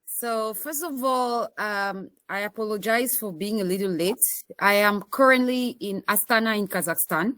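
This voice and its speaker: A woman's voice: mid-range voice, normal speed, a bit bright, dry recording. Cameroonian woman, dry close sound. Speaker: panelist, association president. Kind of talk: panel self-introduction.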